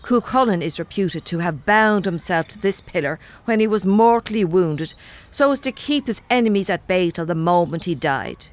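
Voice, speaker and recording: Woman playing a blunt, lively-pitched Irish woman. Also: normal speed, lightly acted. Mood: wary, dry. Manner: blunt, complaining, colloquial. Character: blunt, suspicious, no-nonsense Irish woman, reluctant time traveller. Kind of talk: comedy audio drama acting.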